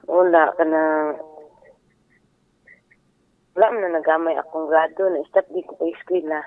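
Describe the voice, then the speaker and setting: A man's voice: on the high side, steady tone, a little slow, no echo, phone-quality sound. A man with a Filipino accent chatting casually as a caller on a radio show. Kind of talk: casual personal chat. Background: music underneath.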